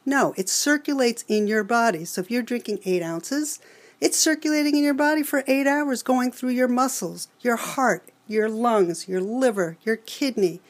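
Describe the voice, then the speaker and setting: A woman's voice: on the high side, moderate pace. A woman in her fifties with a New York accent, an alcohol counselor earnestly explaining things in an interview. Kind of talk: expert interview answers.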